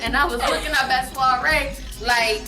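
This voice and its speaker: A woman's voice: high-pitched, fairly even intonation, moderate pace. A woman telling a casual comedic family story in an exaggerated way, repeating phrases for emphasis. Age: adult. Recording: roomy, distant mic, music behind. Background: background music.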